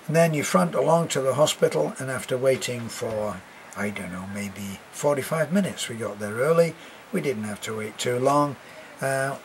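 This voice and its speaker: A man's voice: mid-range voice, a little hiss or hum, poor audio. An older British man with a cough and a congested voice, chatting casually to camera as an expat vlogger, rambling with many fillers and restarts. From Britain.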